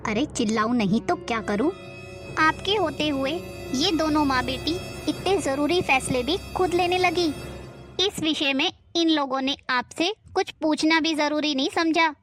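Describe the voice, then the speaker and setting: A woman voicing a middle-aged, scheming aunt who scolds and accuses her family in an animated drama. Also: sits high, a little slow, soft background music. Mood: accusing. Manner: scolding, accusing, sharp-tongued.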